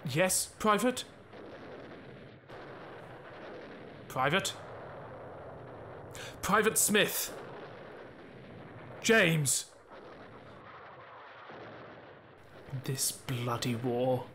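Man acting weary WWI officer, fatherly, measured, slow delivery, audio drama, faint artillery behind. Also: a little high, expressive, bright, forceful tone, full character voice. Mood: grieving, resolute. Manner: measured, reassuring, formal. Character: war-weary, fearful but fatherly army captain. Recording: faint battlefield noise behind. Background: artillery fire and battlefield ambience.

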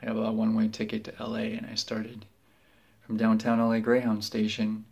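A man in his thirties from northern Minnesota, telling his story for a documentary in a halting, self-deprecating way, recorded on a webcam in a small room at home. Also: on the low side, completely flat delivery, creaky, darkish tone, husky, highs cut off. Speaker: aspiring actor interviewee. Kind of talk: candid documentary testimony.

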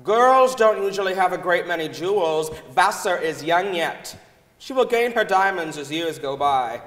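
A man's voice: high-pitched, animated, moderate pace, a bit bright. A man giving a dramatic reading of historical texts on the stage of a large hall. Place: large concert hall stage before an audience. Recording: echoey concert hall with long reverb.

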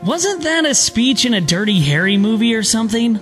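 Bright, excitable teenage slacker boy acted by a man. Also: high voice, brisk, soft background music. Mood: puzzled. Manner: excitable, slangy. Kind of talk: radio play acting.

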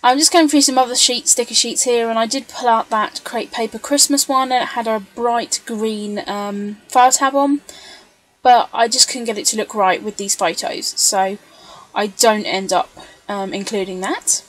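Chatty woman with a higher-pitched voice. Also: fairly even intonation, moderate pace, poor audio. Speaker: scrapbooker narrating craft video. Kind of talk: casual craft tutorial voice-over.

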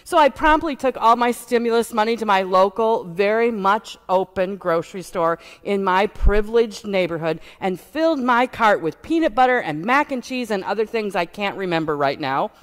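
A woman pastor preaches from a lectern, telling a personal story in a relaxed, conversational way. Her voice is mid-pitched and plain. A long reverb from the sanctuary trails her words. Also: normal speed, hardly any pauses. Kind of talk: sermon and unison prayer. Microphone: lectern microphone.

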